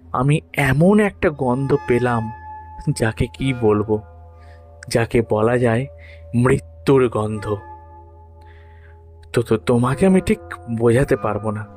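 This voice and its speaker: A man reads a literary audio story in the first person at a medium pitch. His delivery is dramatic, expressive and deliberate, with music running behind the voice.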